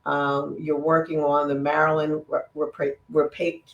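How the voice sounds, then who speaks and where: A woman's voice: sits low, flat delivery, normal speed, gravelly, reverberant, highs cut off. A woman hosting an online conversation, asking questions with many fillers and stumbling over words, on a video call. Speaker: interviewer, climate equity director.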